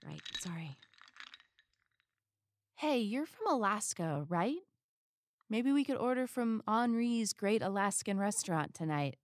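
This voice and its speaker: Woman acting a wry, expressive sidekick. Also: mid-range voice, measured pace, pauses often, dry recording, natural voice. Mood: wry, gentle. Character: exasperated, wry, caring female friend of detective. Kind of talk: comedy audio drama acting.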